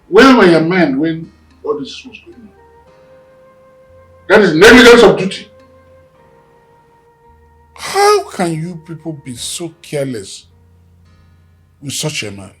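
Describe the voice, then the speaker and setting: This is a husky, slow-talking voice. A man plays a stern boss who demands answers from his men in a comedy skit. Faint music sits behind him. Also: on the high side, fairly bright tone, heavily acted, poor audio. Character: angry boss scolding his staff. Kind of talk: comic skit acting.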